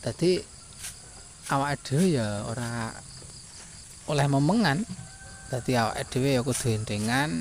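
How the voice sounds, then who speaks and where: A man's voice: mid-range voice, vocal fry. A man from rural Java with a Javanese accent, narrating his daily chores in a casual vlog, often repeating himself and tagging phrases with a questioning filler. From rural Java, Indonesia. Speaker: village vlogger, farm labourer. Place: outdoors by a goat pen in a village. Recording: noisy outdoor village ambience.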